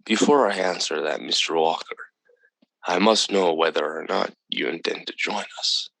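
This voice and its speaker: A man acting as a calm, condescending alien visitor in a radio drama, speaking in formal, measured phrases. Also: deep voice, creaky, dry recording, heavily acted, rough recording. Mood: coolly menacing. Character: calm, superior alien visitor from another planet.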